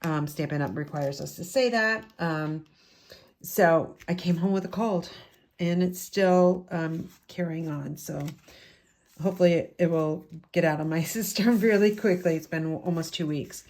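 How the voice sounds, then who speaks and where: A woman with a raspy voice from a lingering head cold, from the United States, chatting through a step-by-step card-making tutorial in her craft room at home. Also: on the low side, a little slow, a little mellow.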